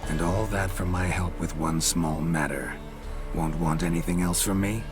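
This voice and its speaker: An actor playing a gruff, laconic monster hunter in a fantasy game, clipped, dry and sardonic. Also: male voice, sits low, steady tone, normal speed, music in the background, close to an everyday voice. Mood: dry, wary. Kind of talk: fantasy video game dialogue.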